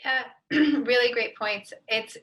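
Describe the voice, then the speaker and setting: A woman's voice: fairly high pitch, slow pace, fairly bright tone. A woman speaking as an expert panelist, giving practical advice. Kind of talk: advisory panel talk.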